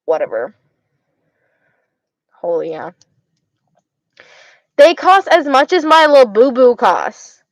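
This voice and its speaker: A young woman with an Arizona accent chats loosely with her viewers, her words slurring together. She stutters and circles back over her own phrases. Her voice sits a little high and sounds dry and close. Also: sing-song, slow pace, long pauses, no echo. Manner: stutters, slurs words, repeats herself. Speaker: livestreamer chatting with viewers. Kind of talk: casual chat.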